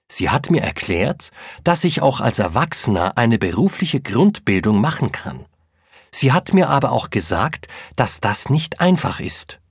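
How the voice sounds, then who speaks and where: An actor playing an Ecuadorian cook in his late thirties, telling his story in a plain, measured way. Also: medium pitch, breathy edge, no echo, studio quality. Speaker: interviewee in textbook listening exercise. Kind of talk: scripted first-person account.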